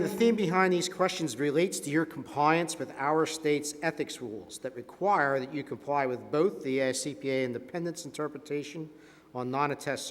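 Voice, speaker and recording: Fairly high male voice, reverberant hall, man acting as formal board investigator, courteous, methodical, probing. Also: close to an everyday voice. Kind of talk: scripted role-play dramatization.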